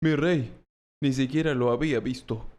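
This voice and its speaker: A man's voice: mid-range voice, moderate pace, darkish tone, lightly acted. A man playing an earnest, naive knight. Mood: surprised, earnest. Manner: earnest, questioning. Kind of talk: audiobook character acting.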